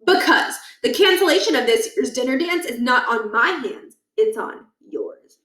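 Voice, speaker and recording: A woman's voice: sits high, expressive, moderate pace, a bit bright. A woman voicing a pompous, power-hungry older male school principal in a children's story, lecturing with condescension and menace. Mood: scornful. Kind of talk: children's book read aloud. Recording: echoey room, distant from mic.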